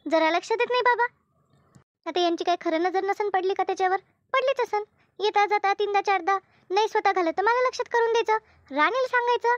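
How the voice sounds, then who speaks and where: Woman acting a very shrill village mother, nagging. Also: fairly quick, no room sound, highs cut off. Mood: exasperated. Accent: rural Maharashtra Marathi. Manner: nagging, full of rhetorical questions. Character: exasperated village mother scolding her household. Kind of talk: amateur Marathi cartoon dubbing.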